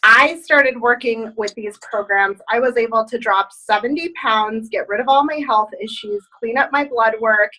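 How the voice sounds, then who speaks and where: Bright, peppy woman hosting a video call. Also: on the high side, moderate pace, hardly any pauses, poor audio. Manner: enthusiastic, rallying. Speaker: host of a group video call. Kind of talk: upbeat testimonial pitch. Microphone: laptop webcam on a video call.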